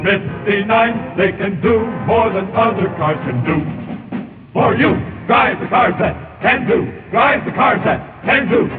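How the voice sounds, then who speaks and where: A man sings a vintage radio jingle in a high, unhurried voice. Music plays clearly behind him and the narrow old recording has an echo. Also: lively intonation. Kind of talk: sung 1950s radio jingle.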